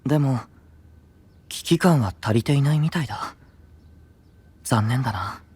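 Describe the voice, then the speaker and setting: A man playing a gentle-spoken, idealistic, enigmatic young knight leader in an anime-style voice drama, soft and composed. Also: mid-range voice, lively intonation, measured pace, husky, no room sound. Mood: quietly troubled.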